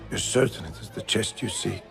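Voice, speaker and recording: An actor playing a gruff, domineering man who runs street thieves, in video game drama style. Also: fairly low pitch, huge pitch swings, brisk, music in the background, a crowd in the background, exaggerated voice. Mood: businesslike. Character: gruff, bossy male fence and crime boss.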